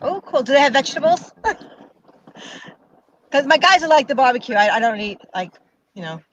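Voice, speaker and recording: A woman's voice: high-pitched, very animated, moderate pace, a bit bright. A giggly, chatty woman from New York talking casually on a livestream. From New York, the Bronx and Long Island. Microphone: internet video call. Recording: noisy internet call with clicks.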